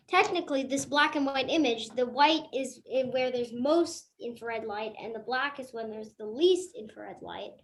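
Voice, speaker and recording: A female student co-presenter explaining informally on a live online session, hesitant and restarting sentences as she goes. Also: high voice.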